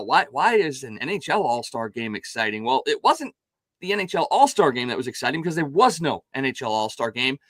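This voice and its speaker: A man hosting a sports talk show, his voice raspy and hoarse from calling games, chatting in an upbeat, casual way with many restarts. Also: on the high side, a bit bright, dry recording.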